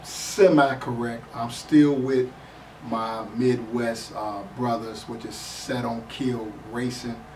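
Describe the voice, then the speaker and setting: A hoarse man in his forties from the Chicago area, a drag racer answering interview questions with many fillers. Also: medium pitch. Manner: halting, many fillers, flat delivery. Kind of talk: casual interview. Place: small studio office. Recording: echoey room, mic far off, faint noise.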